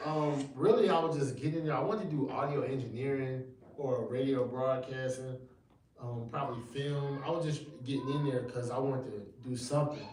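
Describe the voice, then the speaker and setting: A man in his thirties rambles casually through a livestream interview, full of slang and restarts. His voice sits at an ordinary middle pitch. It echoes heavily around the room, and the mic sits well away from him, with a faint hiss underneath. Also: moderate pace, far from the mic. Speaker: gospel rapper guest.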